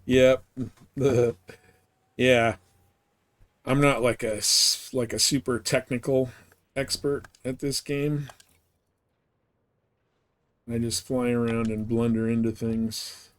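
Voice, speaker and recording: A man with a mid-pitched voice talks casually on a gaming livestream. He rambles and thinks out loud as he plays, in the loose, conversational way of someone chatting to viewers. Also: fairly even intonation, slow pace, long pauses. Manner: rambling, thinking aloud while playing. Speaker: video game livestreamer. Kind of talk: casual gaming stream chat.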